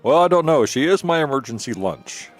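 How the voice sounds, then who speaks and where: A man's voice: medium pitch, very animated, a little fast. A man giving casual, joking gameplay commentary as a streamer, with a wry manner. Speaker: game streamer. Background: game music.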